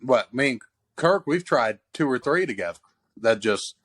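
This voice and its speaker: A man's voice: mid-range voice, animated, moderate pace, fairly bright tone. A man, co-hosting a casual podcast from a basement den and telling profane, rambling stories. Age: 20s. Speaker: podcast co-host. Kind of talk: casual chat. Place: cold basement den in a house. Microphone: handheld mic.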